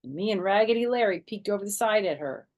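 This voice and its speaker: A woman narrates a children's book at storytime. Her voice sits at a medium pitch, and she tells the story in an animated, dramatic way, with plenty of expression. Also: quick pace.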